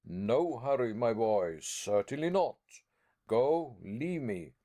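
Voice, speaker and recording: A man's voice: mid-range voice, expressive, slow pace, mellow. A man voicing a stubborn older professor and explorer who refuses to turn back, tender at first and then harsh and commanding. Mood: fierce.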